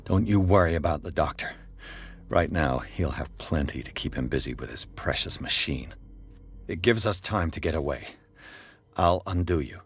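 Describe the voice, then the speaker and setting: A man's voice: rumbling low, lively intonation, normal speed, breathy edge, heavily acted. A man playing a tense, bewildered investigator on the run in a video game, speaking in a terse, urgent, questioning manner. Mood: urgent, guarded. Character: tense, bewildered male investigator escaping captivity, pressing for answers. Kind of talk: dramatic video game voice acting.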